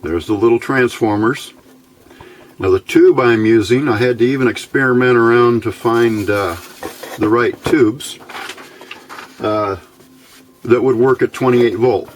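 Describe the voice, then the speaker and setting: A man's voice: medium pitch, measured pace, pauses often, slight background noise. A man giving an informal hands-on technical explanation as an electronics hobbyist, in a plainspoken, folksy, methodical way.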